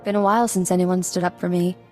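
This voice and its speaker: A woman's voice: on the low side, steady tone, fast, a little mellow, faint music behind, natural voice. A woman playing a tough, lonely outlaw in a video game, plain-spoken and guarded. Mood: wistful, fond. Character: tough, lonely female outlaw with a soft spot.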